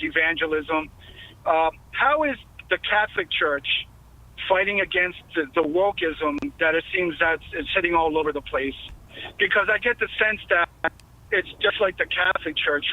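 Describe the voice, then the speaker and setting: Rambling male caller on a phone line. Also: sits high. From New York. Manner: rambling, hesitant.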